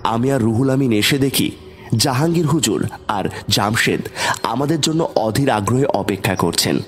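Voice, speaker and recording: A man's voice: mid-range voice, expressive, normal speed, slightly rough. A man narrating a scripted first-person horror story in a dramatic, suspenseful way. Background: background music.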